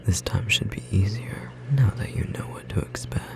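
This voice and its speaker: A performer voicing a tender magical bookstore clerk in an ASMR roleplay, whispering softly and gently encouraging a beloved partner, with a slightly rough edge. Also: sits low, moderate pace. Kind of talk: ASMR roleplay, intimate whispered second-person acting.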